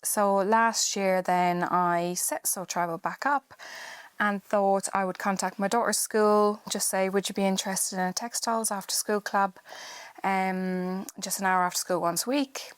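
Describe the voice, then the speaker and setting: A woman's voice: mid-range voice, fairly even intonation, a little slow, clear, resonant tone, no echo. A woman in her thirties from Ireland with an Irish accent, chatting as a podcast guest about teaching sewing, with many ums and restarts. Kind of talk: casual podcast chat.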